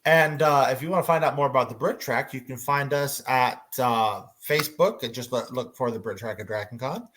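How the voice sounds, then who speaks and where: A man hosts a relaxed fan discussion, addressing listeners and fellow panelists. His voice sits at an average pitch, and his phrasing comes in hesitant, halting pieces. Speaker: panel host. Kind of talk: informal fan panel moderation.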